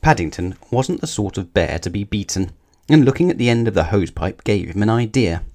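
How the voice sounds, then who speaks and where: A man's voice: lowish voice, lively intonation, brisk, a little mellow. A man reading a scripted children's story aloud as the narrator.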